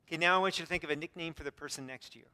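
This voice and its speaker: Squeaky-voiced pastor preaching in a hall. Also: male voice, rapid-fire, sharp tone, clear, resonant tone. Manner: earnest, self-deprecating humor, frequent fillers and restarts. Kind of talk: sermon. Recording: long reverb from a hall.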